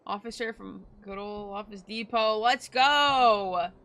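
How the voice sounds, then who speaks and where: A woman's voice: mid-range voice, slow pace, fairly bright tone, slight background noise. A woman chatting casually and informally as a game streamer, reacting in short bursts. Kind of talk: casual livestream chat over gameplay. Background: video game music and dialogue.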